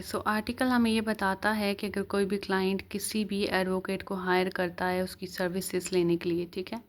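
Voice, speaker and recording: A woman with a Pakistani accent giving an explanatory law lecture, reading legal text aloud and switching between Urdu and English. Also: medium pitch. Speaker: law teacher.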